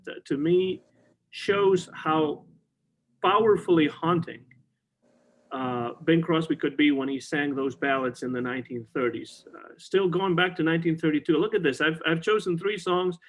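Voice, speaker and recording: A man's voice: a little high, low-quality recording. A middle-aged man hosting a podcast, talking casually and enthusiastically about old songs, with many fillers and restarts. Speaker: podcast host. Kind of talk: casual conversation about music. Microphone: video call.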